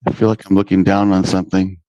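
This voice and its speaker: A man's voice: deep voice, level tone, fast talker, mellow, no room sound, lo-fi sound. A man on a video call, joking with the host in casual, teasing chat and laughing often.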